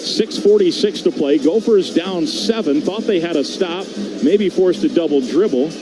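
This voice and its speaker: A man's voice: a little high, animated, moderate pace, over music. An American man calling live basketball play-by-play on radio in short, clipped phrases, describing the action as it happens. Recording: very noisy arena background. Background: arena crowd and game ambience.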